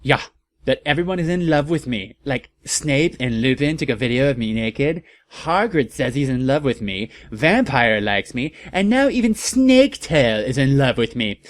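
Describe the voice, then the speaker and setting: A man's voice: mid-range voice, very animated, moderate pace, close to an everyday voice. A man voicing a vain, melodramatic teenage goth girl in a petulant, whiny manner for a comedic story reading. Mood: self-pitying.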